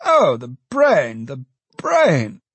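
A man voicing a pompous, sanctimonious, excitable alderman in an audiobook, exclaiming and lamenting grandly. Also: medium pitch, drawn-out words, slightly rough, dry recording, voice pushed up, full character voice. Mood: pious lament. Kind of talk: dramatized audiobook character voice.

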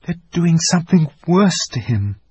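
An eager, tender-hearted little girl from a storybook, as a male reader puts her on. She speaks high and breathless, her cries rising with feeling, over a husky edge that shows through. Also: animated, takes it slowly, a little mellow, no room sound, muffled recording, exaggerated voice. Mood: urgent.

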